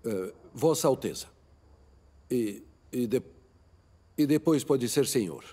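A middle-aged prince in a Brazilian-accented film dub. He stammers over repeated words, proud and easily irritated. His formal, defensive delivery swings in pitch. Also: lively intonation, slow pace, mellow, slightly rough. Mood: stiff, guarded. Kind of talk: film dubbing in Portuguese.

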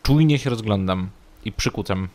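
A man's voice: lowish voice, lively intonation, moderate pace, darkish tone, slight background noise. A man playing a tabletop role-playing game, talking casually in character.

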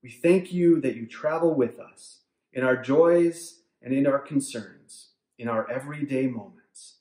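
Earnest pastor in an echoey room. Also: male voice, mid-range voice. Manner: earnest, measured, softening to a hushed prayer. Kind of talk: sermon with closing prayer. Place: home room with bookshelves, indoors.

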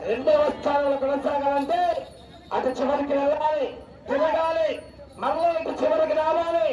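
A man giving excited, exclamatory live sports commentary over an outdoor public address. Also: very high-pitched, normal speed. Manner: excited, exclamatory, calling out times and cheering on competitors. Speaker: live announcer at a rural bullock-pulling contest. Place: outdoor event ground. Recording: distant, echoing outdoor PA, faint crowd. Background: faint crowd.

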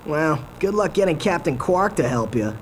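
An actor playing a brash, youthful furry alien mechanic in a video game, casual and cocky. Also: male voice, on the high side, sing-song, moderate pace, a little hiss or hum, low-quality recording. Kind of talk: video game cutscene acting.

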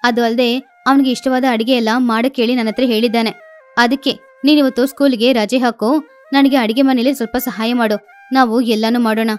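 Woman as a high-voiced bossy mother sparrow. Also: fairly even intonation, moderate pace, a little mellow, soft background music, lightly acted. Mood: nagging. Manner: nagging, bossy. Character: scolding mother sparrow, bossy, favours her son.

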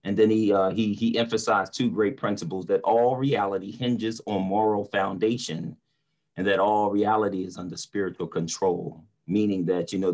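A man's voice: low-pitched, a little mellow. A middle-aged man from North talks. He is earnest and emphatic, like the preacher he is, and repeats words as he makes his point on a video call. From North Philadelphia, United States. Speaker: preacher and motivational speaker. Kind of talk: casual discussion in an online panel. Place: small room at home or office, indoors. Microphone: video call computer mic.

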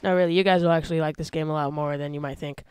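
A man hosting a casual gameplay video, talking through how he builds a vehicle, with excited yelps when he drives it. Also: fairly high pitch, racing, clean tone, no echo. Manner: chatty, explains while building, excited yelps when driving. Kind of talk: casual let's-play commentary.

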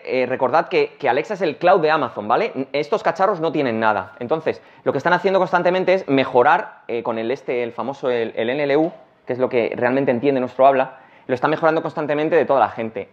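Madrid accent guy rattling through a tech talk on stage, fast, lots of ums, dull lo-fi sound. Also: medium pitch. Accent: Madrid Spanish. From Madrid, Spain. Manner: rapid, casual, many restarts and fillers. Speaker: conference speaker. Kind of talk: technical conference talk. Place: large conference hall, on stage. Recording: dull lo-fi conference recording.

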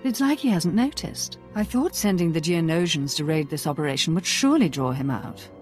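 A woman's voice: deep voice, lively intonation, fairly quick, soft background music. An actress playing a vain, haughty, seductive female Sith villain in a video game, with a silky manner. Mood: cool, sly.

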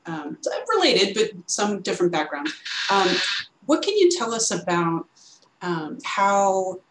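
A woman moderating a panel over a video call, brisk and encouraging. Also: mid-range voice, very animated. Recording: echoey room, faint hiss.

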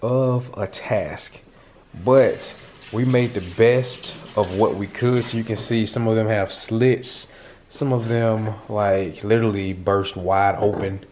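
A man's voice: lowish voice, steady tone, slow, darkish tone, slight background noise. A man in his thirties hosting a casual cooking vlog at home, chatting to viewers in laid-back slang. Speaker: vlog host. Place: home kitchen and living room, indoors. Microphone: handheld camera at arm's length.

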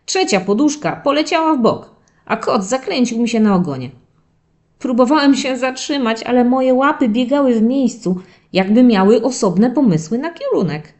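A woman voicing a playful young male terrier puppy who tells stories from his diary to children in an expressive, dramatic way. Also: a little high, lightly acted. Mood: excited, mischievous. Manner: expressive, drawn-out dramatic telling addressed to children. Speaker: narrator of a children's audio story series. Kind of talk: animated first-person children's storytelling.

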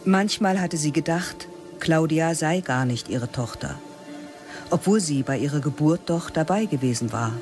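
A woman's voice: sits low, lively intonation, normal speed. A woman playing a stern older biology teacher. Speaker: narrator and lead. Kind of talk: radio play narration and acting. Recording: radio play with music underneath.

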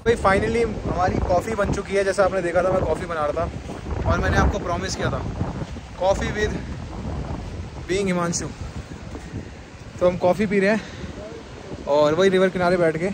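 High-voiced young man vlogging outdoors. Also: steady tone, moderate pace, long pauses. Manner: chatty, upbeat, talks straight to viewers. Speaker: travel vlogger. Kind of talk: casual vlog chat. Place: outdoors by a river in snow. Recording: outdoor recording with loud wind noise.

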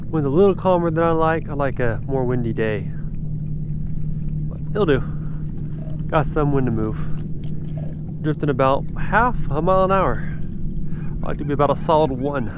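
A man's voice: a little high, moderate pace, long pauses. A man giving casual running commentary while fishing, talking to himself outdoors on a small boat. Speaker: fishing vlogger. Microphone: body-worn action camera. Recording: muffled action camera audio with clear outdoor boat noise.

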